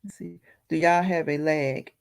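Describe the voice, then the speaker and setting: Gravelly-voiced woman hosting a live chat. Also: low voice, fairly even intonation, unhurried, darkish tone. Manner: laughs often, greets viewers by name. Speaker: livestream host reading chat.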